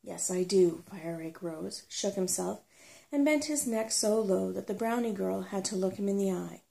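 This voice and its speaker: Mellow, creaky woman reading ASMR stories. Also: medium pitch, moderate pace. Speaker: narrator. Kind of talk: ASMR storybook narration.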